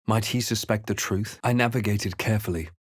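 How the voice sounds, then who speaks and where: A male actor playing a brooding, resolute fantasy RPG adventurer in a video game, with a measured, dramatic storytelling delivery. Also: lowish voice, slightly rough, no room sound, studio quality. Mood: grave narrating. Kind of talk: scripted video game character lines and narration.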